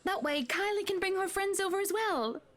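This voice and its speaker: A woman's voice: extremely high pitch, animated, moderate pace, a bit bright. An actress playing a gracious, teasing wealthy lady of the manor in a visual novel game, with a gushing, hostess-like manner. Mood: gushing, hospitable.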